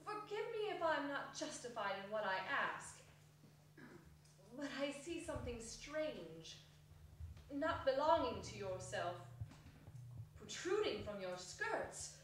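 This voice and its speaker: Humbled, pleading old man, played by a woman, high slow voice, earnest stage delivery in a big echoey hall. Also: sing-song, heavily acted. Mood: anxious, curious. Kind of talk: theatrical dramatic reading on stage. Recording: echoey hall, distant, faintly noisy.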